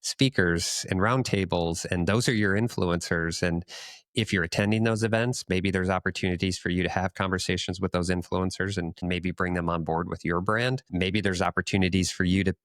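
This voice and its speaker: A man with a deep voice speaks as a podcast co-host. He explains things in a measured, conversational manner, recorded very dry without room sound. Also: fairly even intonation, fairly bright tone, no echo. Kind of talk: conversational business discussion.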